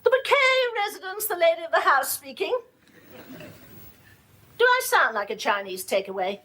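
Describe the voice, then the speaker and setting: An actress playing a snobbish, social-climbing middle-aged English housewife in a sitcom, speaking with haughty, affected gentility. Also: female voice, very high-pitched, very animated, moderate pace, background noise. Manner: haughty, affectedly genteel, imperious. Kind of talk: 1990s British sitcom acting.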